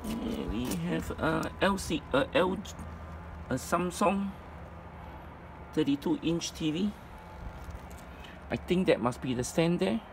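Man, slightly high voice, halting informal inventory narration, handheld phone recording, clear ambient noise. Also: expressive. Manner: halting, piecemeal delivery. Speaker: storage auction presenter. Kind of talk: informal item-by-item walkthrough narration. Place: storage facility, at open unit. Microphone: handheld phone camera, speaker behind it. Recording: noisy handheld recording, traffic-like rumble.

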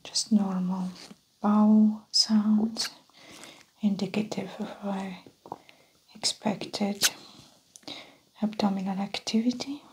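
Soft whispering woman, ASMR doctor. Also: medium pitch, fairly even intonation, slight background noise, low-quality recording. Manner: gentle, unhurried, explaining each step. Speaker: ASMR performer playing a doctor. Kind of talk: soft-spoken ASMR medical exam roleplay.

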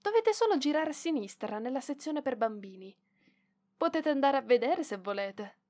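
A woman's voice: high-pitched, very animated, normal speed, a little mellow. A woman voicing a proud young librarian in an ASMR roleplay, defensive and bashful, with hesitant stammering. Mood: awkward, kind. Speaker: voice actress.

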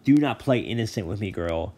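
Man performs bellowing, aggrieved god of the dead for a storybook reading, lowish and loud. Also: animated, a little fast, slightly rough. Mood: menacing. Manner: bellowing, harried and threatening. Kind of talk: audiobook reading.

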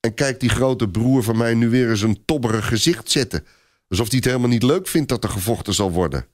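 A man's voice: medium pitch, a little fast, lightly acted. A man voicing a sulky, grumbling little boy who is eager for battle, in a storybook reading.

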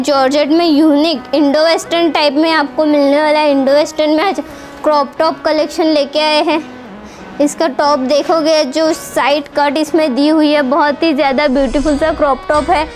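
A woman's voice: high voice, fairly even intonation, moderate pace, soft background music, rough recording. A young woman presenting outfits in a shop, with upbeat and repetitive sales patter, recorded on a lapel mic. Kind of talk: product showcase sales pitch. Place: indoor shop with green wall.

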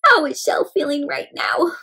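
A young woman reading a picture book aloud to children, animated and acting out the characters while asking the kids questions. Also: sits high, moderate pace. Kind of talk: interactive read-aloud for kids. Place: home room with decorated wall.

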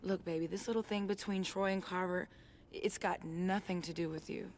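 An actress playing a young woman who warns her boyfriend in a soft, pleading voice, sometimes hushed, in a game cutscene. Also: on the low side, steady tone, moderate pace, a little hiss or hum, natural voice. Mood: worried. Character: young woman, worried girlfriend warning her man. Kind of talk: video game cutscene acting.

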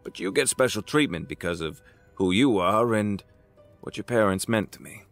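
An actor playing an older crime boss in an audio drama, patronizing and deliberate, with a smug, folksy menace. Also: male voice, lowish voice, lively intonation, faint music behind. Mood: smug, persuasive.

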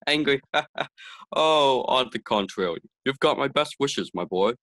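A man's voice: medium pitch, animated, unhurried, fairly bright tone, no echo. A man playing a hearty old boss. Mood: jovial. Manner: hearty, chuckling. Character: jovial older male boss, Mr. Atterbury. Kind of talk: 1940s radio acting.

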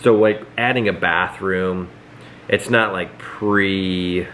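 A man in his thirties giving a quick, upbeat house tour and chatting at home. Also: sits low, steady tone, a bit bright, slight background noise. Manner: quick, upbeat, rambling with restarts. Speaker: vlog co-host. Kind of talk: casual house tour and chat. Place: living room at home, indoors.

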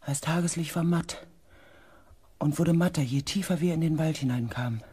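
An actor playing a grave expedition member who narrates in the first person, measured and sometimes hushed, in a sci-fi radio play. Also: male voice, on the high side, husky. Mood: grave, eerie. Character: grave male expedition member recounting an eerie mission.

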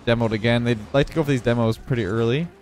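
A young man with a congested voice giving relaxed running commentary on his own video game match. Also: mid-range voice, quick pace, faint music behind. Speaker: gaming video creator. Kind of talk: live gameplay commentary.

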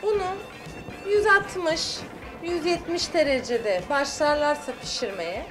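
A woman's voice: high voice, slow pace, over music. A middle-aged woman, a guest chef on a studio cooking show, explaining each step as she works. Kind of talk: cooking demonstration. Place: TV studio kitchen set.

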